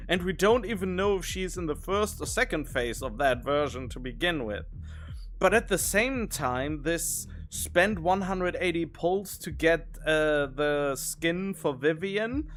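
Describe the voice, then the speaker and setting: A man's voice: a little high, a bit bright, a little hiss or hum. A man streaming a video game, thinking aloud in casual commentary with rambling, wondering questions.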